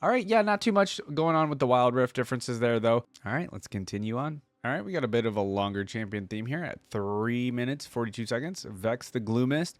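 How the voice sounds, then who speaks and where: A man's voice: medium pitch, lively intonation, a little fast, few pauses, dry recording. A young man hosting a casual reaction video with laid-back, chatty, appreciative commentary.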